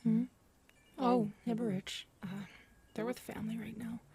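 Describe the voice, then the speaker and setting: A woman acting in an intimate sci-fi audio roleplay as a gentle, grieving character, speaking softly and haltingly, often close to a whisper. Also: a little high, little pitch movement, creaky, husky, exaggerated voice. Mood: gentle, awkward. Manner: halting, soft, hesitant, often dropping to a whisper.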